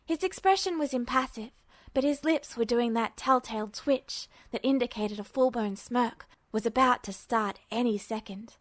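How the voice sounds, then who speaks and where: A woman reading fan fiction aloud as a podfic, with even, attentive storytelling narration. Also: on the high side, normal speed, no echo. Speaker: podfic reader.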